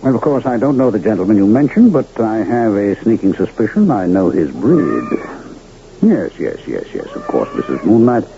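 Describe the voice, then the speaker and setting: A man's voice: fairly low pitch, big pitch swings, normal speed, mellow. An actor playing a sly, genial older carnival showman in a radio drama, with theatrical, courtly, florid diction. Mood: curious, knowing. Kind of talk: old-time radio drama acting. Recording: muffled, noisy vintage radio broadcast.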